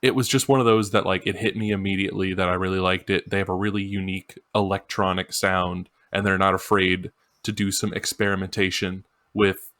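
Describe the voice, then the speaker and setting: A man's voice: deep voice, flat delivery, fairly quick, fairly bright tone, no echo. A man co-hosting a podcast, chatting casually and informally about music. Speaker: podcast co-host.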